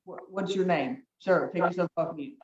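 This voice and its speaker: A woman judge with a deep voice presiding over virtual hearings, giving firm, brisk, repeated procedural instructions over a video call. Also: noisy background. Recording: echoey, distant, dull video-call audio.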